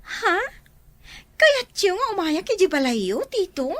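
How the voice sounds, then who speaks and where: Woman acting a very high, bright young Filipino girl. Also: big pitch swings, measured pace, no echo, exaggerated voice. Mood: hesitant. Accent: Ilocano Filipino. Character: hurt, embarrassed young girl, Lisa, granddaughter. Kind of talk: Ilocano audio drama acting.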